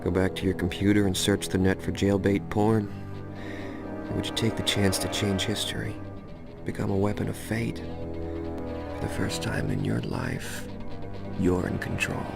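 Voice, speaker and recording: A man's voice: fairly low pitch, level tone, measured pace, gravelly, pauses often. An actor playing a cynical, cocky young male assassin who narrates a video game in a crude, sardonic way, speaking straight to the listener. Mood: cool, menacing. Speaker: narrator and protagonist. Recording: loud music over the voice.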